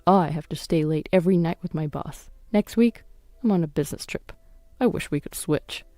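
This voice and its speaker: Selfish, irritated husband, read by a woman, sneering and curt, mellow tone, for a domestic audio drama. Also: sits low, normal speed, no echo, faint music behind, natural voice. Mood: snide.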